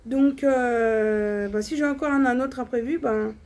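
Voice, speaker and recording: A woman's voice: a little high, unhurried, a little mellow. A young woman talking casually and bluntly to her viewers, with many fillers and restarts. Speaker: vlogger. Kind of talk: casual personal vlog monologue.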